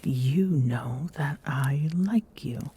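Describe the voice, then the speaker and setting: A woman playing a regal, smitten Amazonian queen in an intimate ASMR romance roleplay, speaking formally and softly with coy pauses, often near a whisper. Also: low voice, breathy edge, exaggerated voice. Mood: earnest, murmuring. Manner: formal, haughty diction with coy hesitations and murmured endearments.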